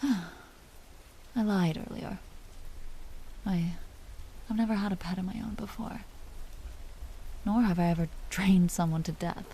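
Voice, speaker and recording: A woman playing an aristocratic vampire duchess in a roleplay audio drama, commanding and teasing yet secretly tender, often hushed and confiding. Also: medium pitch, slow, gravelly, pauses often, slight background noise, low-quality recording. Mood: confiding, guarded.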